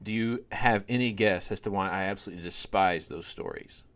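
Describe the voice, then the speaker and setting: A middle-aged man and podcast host, telling stories in a relaxed conversational way, with lots of fillers and animated swings in emphasis. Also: sits low, fairly quick. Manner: animated storyteller, many fillers.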